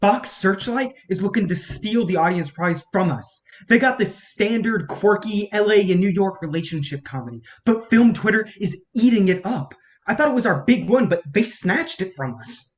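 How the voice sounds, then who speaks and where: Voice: mid-range voice, animated, normal speed, hardly any pauses, lightly acted. An actor in a staged play reading playing an exasperated, embittered film campaign strategist, profane and sarcastic in manner. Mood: bitter, agitated.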